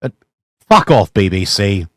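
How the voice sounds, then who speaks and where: Deep-voiced man casually reacting to clips. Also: very animated, measured pace, a little hiss or hum. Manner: casual, hesitant, profane asides.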